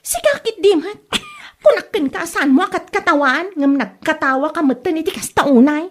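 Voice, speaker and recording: A woman's voice: high voice, animated, moderate pace. A woman acting a stubborn, lovestruck young woman in a comedy drama. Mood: playful, insistent.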